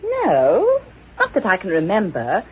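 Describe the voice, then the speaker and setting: An actress playing a chirpy, obliging hotel receptionist in an old-time radio drama, eager to please. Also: female voice, mid-range voice, huge pitch swings, unhurried, slightly rough, exaggerated voice. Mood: chatty, obliging. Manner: sing-song, eager to please. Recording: dull, muffled old radio recording.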